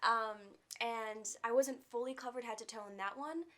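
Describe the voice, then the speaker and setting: Young actress, soft quiet voice, candid and chatty, lots of likes and ums. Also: female voice, fairly high pitch, fairly even intonation, moderate pace. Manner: open, candid, uses fillers like "like" and "um". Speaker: actress, guest. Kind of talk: casual interview.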